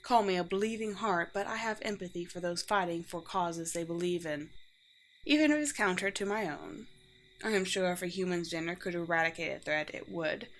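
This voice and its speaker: A woman playing a courteous, teasing, world-weary vampire queen in a roleplay audio drama, with formal, old-fashioned and gently wry phrasing. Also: lowish voice, moderate pace, lightly acted. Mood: sincere, warm. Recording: clear background noise with ambience.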